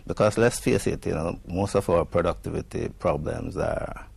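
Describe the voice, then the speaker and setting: A man with a Jamaican accent answering interview questions in a measured way, pausing with frequent ums. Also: low voice, steady tone, gravelly, darkish tone, slightly rough, dry recording. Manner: measured, with many ums and pauses. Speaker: guest, executive director.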